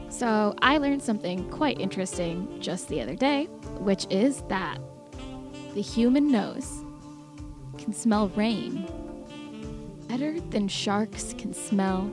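A woman presenting a short fun-fact segment on a podcast. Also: mid-range voice. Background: music.

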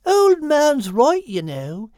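A woman voicing a gentle female platypus who speaks in a calm, reassuring, unhurried way. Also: high voice, no echo, studio quality, pitched above the performer's own voice, full character voice.

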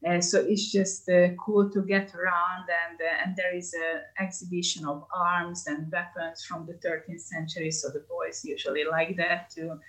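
Hungarian-accented woman, flat low voice. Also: normal speed, hardly any pauses, low-quality recording. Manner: many hesitation fillers, chatty. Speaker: tour guide. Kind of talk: interview answers, casual.

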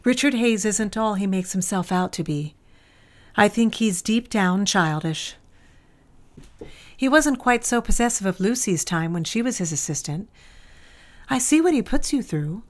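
A worldly, motherly office confidante, voiced by a woman for an audio drama. Her voice sits at a mid pitch, and her manner is soothing and confiding, with a gossipy edge. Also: animated, unhurried, long pauses, natural voice. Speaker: office coworker and former secretary.

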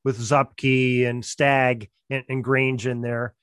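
Man, medium pitch, casual explaining, interview talk, word repetitions, dry sound. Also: little pitch movement, takes it slowly, a bit bright, clean tone, no room sound. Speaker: podcast drama producer.

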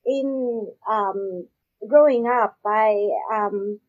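A woman from Pakistan with a husky voice, answering interview questions conversationally in a halting way, repeating words as she goes. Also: medium pitch. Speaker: guest, agricultural research scientist. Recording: dull, lo-fi audio.

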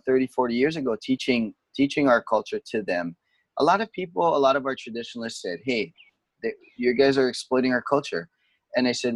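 A man's voice: mid-range voice, gravelly, no echo, low-quality recording. A man from Hawaii, a touring musician, talking casually and patiently explaining words. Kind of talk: casual conversation. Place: home, during lockdown.

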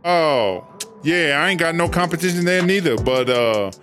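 A man's voice: fairly high pitch, animated, moderate pace. A man playing a swaggering, streetwise female prison inmate in a comedy audio drama, cocky, slangy and offhand. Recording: loud music under the voice.

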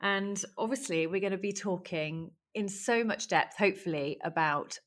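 A woman hosting a podcast interview, chatty and lively, still croaky and hoarse from recently losing her voice. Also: mid-range voice, normal speed. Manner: chatty, lively, laughs easily. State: hoarse, recovering from lost voice. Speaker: podcast host.

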